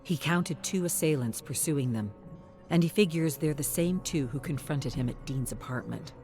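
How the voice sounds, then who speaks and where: A man reading scripted story narration in a measured, even storytelling delivery. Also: a little high, soft background music.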